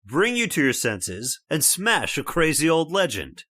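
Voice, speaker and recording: A blustery skeptic dad, swings up and down a lot, scoffs at everything. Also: male voice, a little high, huge pitch swings, a little slow, dry recording, clean studio sound, exaggerated voice. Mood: gloating. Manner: brash, scoffing, loud laughs. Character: blustering skeptical middle-aged father, stubborn, scornful. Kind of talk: old-time radio drama acting.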